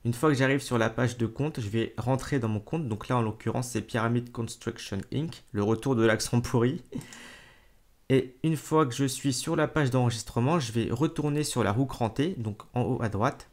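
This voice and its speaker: A man hosting a screen-recorded software tutorial, talking casually to the viewer with fillers and self-corrections. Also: medium pitch, moderate pace. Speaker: tutorial host.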